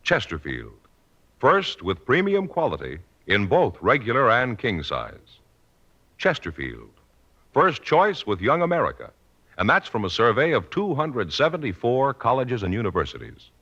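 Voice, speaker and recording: A man's voice: mid-range voice, huge pitch swings, moderate pace, a bit bright. A male announcer delivering an emphatic, salesmanlike 1950s radio advertisement. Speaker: commercial announcer. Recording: dull vintage radio recording.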